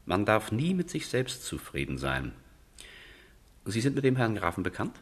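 Deep, pompous, pedantic envoy. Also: male voice, huge pitch swings, moderate pace. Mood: fussy, stern. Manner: pompous, fussy, condescending. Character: pedantic, pompous male envoy, stiff lover of order. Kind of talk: period radio drama acting.

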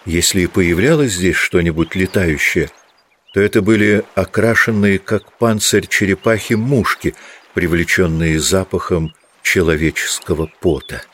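A man reading scripted literary narration for an audio drama in a measured storytelling manner. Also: low-pitched, a bit bright, husky.

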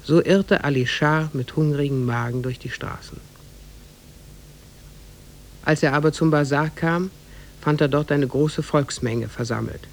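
A man playing a measured storyteller, narrating an old oriental fairy tale in a 1950s radio drama. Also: a little high. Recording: faintly noisy 1950s radio recording.

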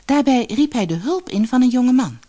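A woman reading a fairy tale as an audiobook storyteller, expressive and measured. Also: high voice. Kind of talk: scripted fairy-tale narration.

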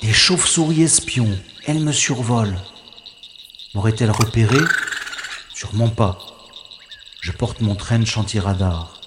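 A man playing a cautious, wry infiltrator who thinks aloud in a deliberate, dramatic monologue from an audio science-fiction adventure. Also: lowish voice, roomy sound, heavy background noise, lightly acted. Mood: alert. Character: cautious, wry male infiltrator narrating his secret mission.

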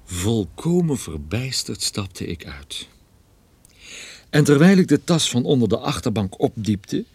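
A man's voice: mid-range voice, a little mellow, no echo. A man playing a private detective who narrates his own radio drama with dry, ironic, literary phrasing. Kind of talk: scripted first-person radio drama narration.

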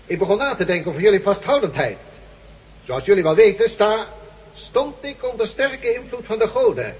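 A man playing an earnest turncoat who justifies himself haltingly in a science-fiction radio drama. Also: high-pitched, sing-song. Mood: earnest, hesitant. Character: male turncoat former captive, earnest, pleading, self-justifying. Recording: muffled old radio drama recording.

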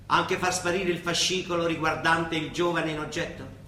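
An actor playing a weary, wry older papal judge with a Roman accent, grumbling colloquially in a theatrical radio play. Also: male voice, on the high side, steady tone, normal speed, bright, forceful tone. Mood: guarded, discreet. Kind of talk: stage-style radio play acting. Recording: echoey stage sound from far off, hiss.

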